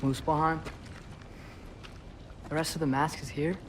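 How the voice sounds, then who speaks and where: A man's voice: on the high side, flat delivery, a little slow, background noise, close to an everyday voice. A man playing a curious, wary young hero in a video game, speaking in an earnest, questioning and hesitant manner. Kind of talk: cinematic video game acting. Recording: music under the voice.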